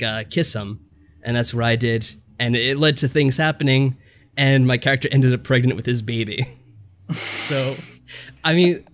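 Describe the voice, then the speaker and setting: A man's voice: medium pitch, fairly bright tone. A man talking casually as a podcast co-host, rambling through anecdotes and acting out little asides. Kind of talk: casual chat reviewing games.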